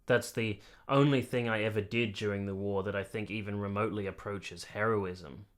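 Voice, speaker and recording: An actor playing an earnest, articulate army captain who has been to war, in a radio play. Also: male voice, lowish voice, steady tone, moderate pace, close to an everyday voice. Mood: sombre, reflective. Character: earnest, candid young army captain, reflective war veteran. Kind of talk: radio play narration and dialogue.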